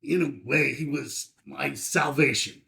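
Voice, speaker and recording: A man's voice: medium pitch, steady tone, measured pace, gravelly, sharp tone, full character voice. A man playing an ageing, grieving veteran father with a wry, reflective manner in a dramatic script read. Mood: wry, tender.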